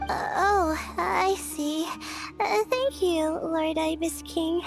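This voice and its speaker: A woman's voice: extremely high pitch, steady tone, slow pace, creaky, music in the background, full character voice. An actress playing a timid, polite young student girl in a video game, with a hesitant, questioning manner.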